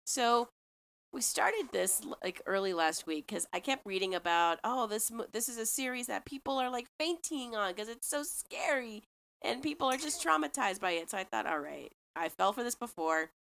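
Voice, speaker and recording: A woman co-hosting a casual podcast, hoarse from a cold, animated and laughing a lot. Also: a little high, normal speed. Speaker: podcast co-host. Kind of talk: casual chat.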